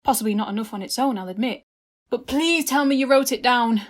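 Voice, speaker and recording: A woman playing a brisk, wry detective questioning suspects in an amateur comedy murder mystery. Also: a little high, close to an everyday voice. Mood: brisk, probing.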